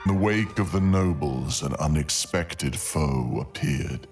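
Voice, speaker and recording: A male narrator delivers scripted fantasy in an ASMR storytelling style. His voice is exceptionally deep and gravelly. He takes his time, placing each phrase deliberately with dramatic pauses, over faint music. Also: animated.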